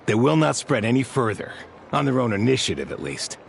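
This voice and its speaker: A man playing a composed, formal soldier of a guard order, speaking in a measured, serious way in a video game. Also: mid-range voice, sing-song, vocal fry, slight background noise. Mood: serious, firm.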